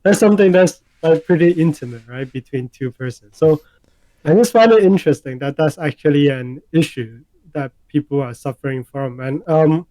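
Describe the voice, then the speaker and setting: A man co-hosting a casual podcast chat, talking in halting bursts, repeating words and filling gaps with um and uh. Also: a little high, mellow, no echo, poor audio. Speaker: podcast co-host.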